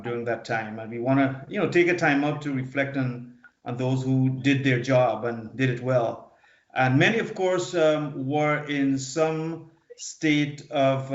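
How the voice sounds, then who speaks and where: A man in his fifties speaks as a panel guest, recalling his past earnestly and stumbling over repeated words. His voice sits at an ordinary pitch for a man. The sound is muffled and roomy, like remote audio in an echoing space. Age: 50s. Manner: halting, earnest, often repeats and restarts words. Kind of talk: personal recollection in a panel discussion. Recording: dull, echoey remote audio.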